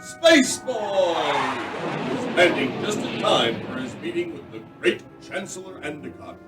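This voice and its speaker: A roomy, distant recording with loud music carries a man acting as a grand old-time radio serial announcer. He introduces a children's space adventure theatrically, swooping widely in pitch. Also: sing-song. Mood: grand, dramatic. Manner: theatrical, grandly drawn-out delivery. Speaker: announcer and narrator.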